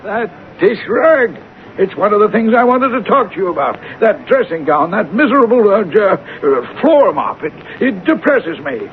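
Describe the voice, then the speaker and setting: A man's voice: high-pitched, sing-song, moderate pace. An actor playing a fussy, stingy, pompous male superior in a radio drama, stammering and dithering with disdain. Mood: peevish. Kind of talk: 1970s radio drama acting. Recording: tinny, noisy old radio recording.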